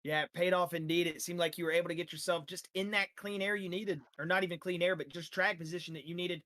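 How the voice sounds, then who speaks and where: A man who is losing his voice, interviewing drivers after a sim race with energetic, rambling talk full of fillers. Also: sits high, fast. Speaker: sim racing league broadcaster.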